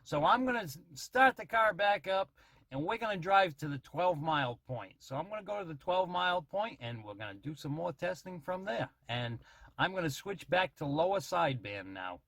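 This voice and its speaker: A man's voice: sits high, sing-song, moderate pace, a bit bright, dry recording. A man giving a casual radio-testing demonstration as a hobbyist, talking in check-ins. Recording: noisy CB radio transmission at times.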